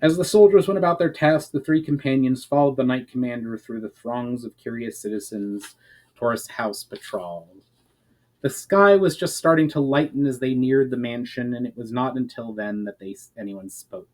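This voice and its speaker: A man's voice: mid-range voice, lively intonation, moderate pace, a little mellow, rough recording. A man, an author, reading his own fantasy story aloud in a dramatic narrating style.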